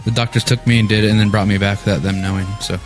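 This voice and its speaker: A man with a fairly low voice trades teasing wisecracks on a casual podcast. He talks loosely among friends, with faint music under the chat. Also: steady tone, racing. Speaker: podcast co-host.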